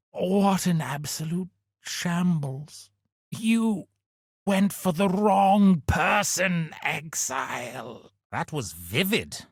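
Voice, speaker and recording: An actor playing a pompous, scheming aristocratic villain in a video game, haughty, condescending and melodramatic. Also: male voice, sits high, fairly bright tone, no room sound, clean studio sound, heavily acted. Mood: panicked, mortified, then nervous bargaining.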